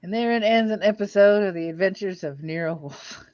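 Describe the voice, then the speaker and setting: A woman's voice: medium pitch, fairly quick, over loud music. A woman hosting a livestream in a casual, chatty style. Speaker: livestream host. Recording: slightly dull close-miked stream audio.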